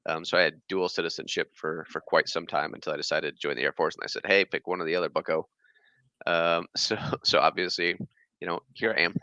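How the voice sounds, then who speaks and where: Rambling male guest, 30s, very deep but bright tone, dry, slightly dull mic. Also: level tone, fast, dry recording. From born in Germany, raised across the US. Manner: rambling, many fillers and restarts. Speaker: guest, fish channel creator. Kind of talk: casual interview chat.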